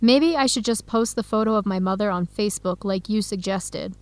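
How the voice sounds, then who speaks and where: A woman playing an earnest young Ethiopian-woman in an educational radio drama, speaking clearly and deliberately. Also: medium pitch, no echo, natural voice. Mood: discouraged.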